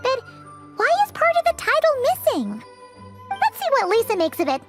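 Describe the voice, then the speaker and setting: A woman voicing a tiny, childlike, excitable fairy companion in a video game, chirpy and squeaky with exaggerated delivery. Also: normal speed, over music, heavily acted. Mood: surprised, curious. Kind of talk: scripted video game dialogue.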